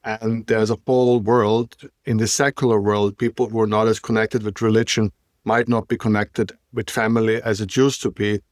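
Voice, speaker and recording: A man's voice: fairly low pitch, flat delivery, normal speed, no echo, studio quality. A man hosting a podcast in casual chat, rambling and stumbling over his words while searching for them. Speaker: podcast host.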